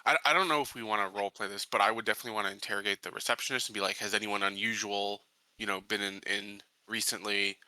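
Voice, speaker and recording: Very bright, forceful low-pitched man. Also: fairly even intonation, quick pace, no echo, poor audio. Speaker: tabletop game player. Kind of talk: casual tabletop game talk.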